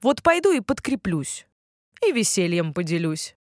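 Woman voicing a hungry storybook wolf, lively and swooping. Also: fairly low pitch, moderate pace, dry recording, clean studio sound. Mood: hungry anticipation. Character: hungry, proud male wolf eyeing his prey.

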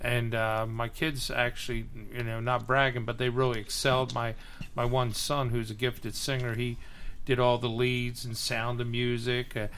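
A man's voice: mid-range voice, flat delivery, moderate pace, fairly bright tone, a little hiss or hum. A middle-aged man, a guest on a casual podcast, telling stories at length. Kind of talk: casual chat.

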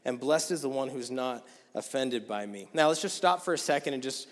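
A man in his thirties preaching a sermon in a casual, chatty way, his voice going hoarse. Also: mid-range voice, brisk. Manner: casual, conversational, with many fillers. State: losing his voice. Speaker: guest preacher. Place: church sanctuary. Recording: long hall reverb.

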